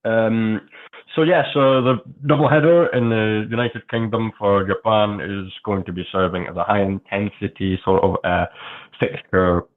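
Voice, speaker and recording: A man's voice: fairly low pitch, fairly even intonation, moderate pace. A man in his twenties, raised in Scotland with a Scottish accent and Polish as his first language, a polite, slightly nervous podcast guest. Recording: tinny phone-like call line.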